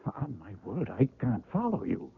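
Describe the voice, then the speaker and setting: An actor playing an older, worldly publisher in a radio drama, paternal and patronizing, persuading and protesting with exasperation. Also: male voice, mid-range voice, moderate pace, gravelly, a little hiss or hum. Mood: baffled. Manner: patronizing, animated, cajoling. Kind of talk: old-time radio drama acting. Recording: muffled vintage radio broadcast.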